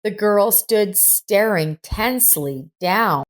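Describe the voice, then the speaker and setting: A woman reading a scripted story in a measured storytelling manner. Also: mid-range voice, expressive. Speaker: story narrator. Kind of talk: scripted audiobook-style narration.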